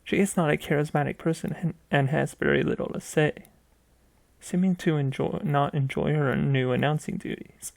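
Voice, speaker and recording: A man narrates a written piece in a fairly high voice with a gravelly, creaky edge. He reads haltingly, tripping over words and doubling back on phrases. The sound is dry and close. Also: steady tone, darkish tone, dry recording. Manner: reads aloud haltingly, stumbling and repeating phrases. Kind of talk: scripted narration of a video game mystery story.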